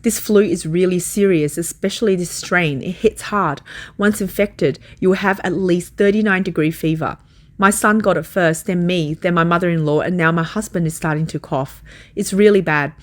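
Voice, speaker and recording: A woman's voice: lowish voice, normal speed. A woman reading translated first-person testimony as a scripted voice-over.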